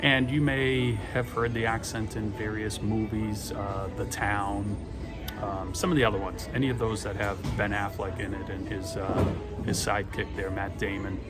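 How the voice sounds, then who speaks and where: A man's voice: lowish voice, level tone, normal speed. A middle-aged man from Boston with a Boston accent, telling stories in a chatty, rambling way with dry humor. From Boston, USA. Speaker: vlogger. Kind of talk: casual storytelling monologue. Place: airport terminal with gate announcements. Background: music and airport PA announcements.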